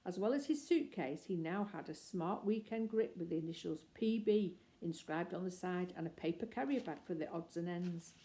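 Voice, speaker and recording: A woman reading a children's book aloud in a gentle, expressive way. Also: fairly low pitch, moderate pace, hardly any pauses. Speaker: reader.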